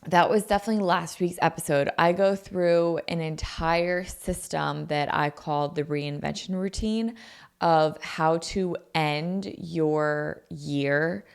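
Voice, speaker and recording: Young woman, podcast host, low gravelly voice that is scratchy from a sore throat, chatty and loose. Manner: chatty, peppered with "like" and "um". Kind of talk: casual solo podcast chat. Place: her own apartment.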